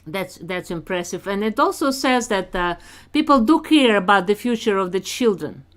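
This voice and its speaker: A woman from Russia with a Russian accent, hosting an online community interview in a measured, encouraging way. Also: mid-range voice, expressive. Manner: measured, encouraging, unhurried with fillers. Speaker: host.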